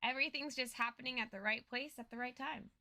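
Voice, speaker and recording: A woman's voice: a little high, quick pace, pushed and bright. A woman co-host giving casual, chatty commentary on a fantasy story recap.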